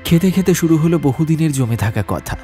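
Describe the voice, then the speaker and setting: A man's voice: a little high, animated, a little fast, mellow. A man narrating a scripted ghost story as a dramatic audiobook. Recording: music bed behind narration.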